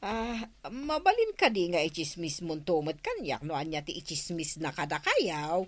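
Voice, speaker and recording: An actress playing a nosy, indignant village gossip who exclaims and teases in an Ilocano radio comedy drama. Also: female voice, lowish voice, huge pitch swings, normal speed, dry recording.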